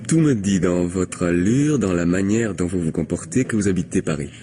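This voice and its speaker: A smooth, insistent palm reader in an old-fashioned radio mystery. His voice sits low and moves expressively as he flatters, pausing as if divining. The recording carries slight noise. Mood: smooth flattery. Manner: insistent, flattering, hesitant pauses while divining.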